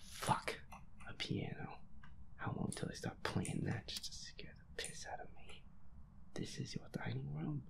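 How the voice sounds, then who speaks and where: A man muttering feisty, sweary commentary to himself as he plays a horror game, in a breathy voice. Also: mid-range voice. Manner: feisty, grumbling, swears constantly. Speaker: gamer playing a horror game as audio roleplay character. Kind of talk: hushed gaming commentary, muttering to self.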